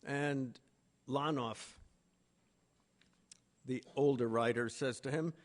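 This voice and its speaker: A man giving a literary lecture in a deliberate, emphatic manner with pauses between phrases. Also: mid-range voice, steady tone. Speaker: conference speaker, literary lecturer. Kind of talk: literary lecture with read-out quotations.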